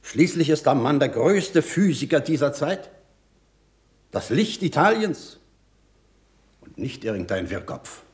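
A troubled pope, swooping intonation. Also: male voice, on the high side, very animated, measured pace, pauses often. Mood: firm, troubled. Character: troubled male pope, firm yet wavering, reasoning. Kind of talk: radio drama acting.

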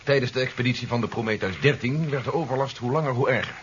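An actor playing an earnest investigator who explains things formally and urgently in a 1970s Dutch radio drama. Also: male voice, mid-range voice, quick pace, close to an everyday voice. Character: earnest male investigator warning of an alien invasion. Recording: dull, noisy 1970s radio recording.

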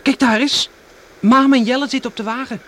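Teenage farm boy, high boyish voice, teasing, radio play with faint noise. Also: steady tone, brisk. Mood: teasing, practical. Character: dreamy, teasing teenage farm boy, not yet sixteen. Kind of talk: radio drama acting. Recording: faintly noisy old radio recording.

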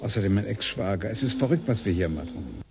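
A man's voice: on the low side, sing-song, fast. An actor in a radio drama playing a nervous man in a guilty affair who hesitates and stammers. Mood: uneasy.

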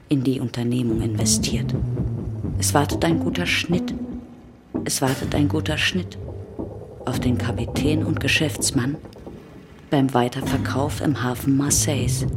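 A woman as the solemn narrator of a radio play, speaking in a measured, incantatory, poetic way. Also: low-pitched. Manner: measured, incantatory, repeating phrases. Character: solemn female narrator of a plague ship's voyage. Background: prominent music.

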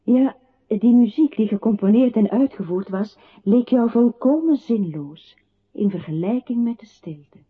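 An actress playing a narrating voice in a literary radio play, observing a composer who withdraws into silence. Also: female voice, on the high side, animated, a little slow, slightly rough. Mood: measured. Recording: muffled, noisy old radio recording.

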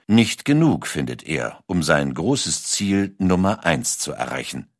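A man's voice: extremely low pitch, sing-song, a little slow, no room sound, studio quality. A man reads scripted documentary narration as an audiobook narrator.

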